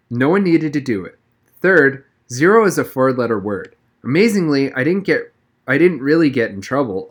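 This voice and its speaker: A man's voice: medium pitch, a bit bright. Man narrating a children's novel. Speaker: audiobook narrator. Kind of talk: first-person scripted narration of a children's novel.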